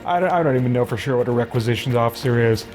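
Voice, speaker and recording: A man's voice: mid-range voice, racing, darkish tone, faint music behind. A man giving casual let's-play commentary, thinking aloud in a tentative way as he goes. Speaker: gaming video host.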